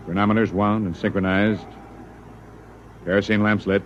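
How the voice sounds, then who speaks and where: An actor playing a dutiful spaceship first officer, giving clipped, businesslike military reports in 1950s radio drama style. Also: male voice, lowish voice, level tone, moderate pace, natural voice. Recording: muffled vintage radio, faint noise.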